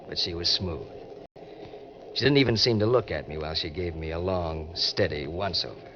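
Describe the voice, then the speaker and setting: A man's voice: on the low side, fairly bright tone, a little hiss or hum. An actor playing a wisecracking, cynical ex-convict drifter, with an American accent and a wry, slangy tough-guy delivery in 1940s radio style. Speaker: narrator and lead. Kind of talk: 1940s hardboiled radio drama acting. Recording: muffled old-time radio recording with music.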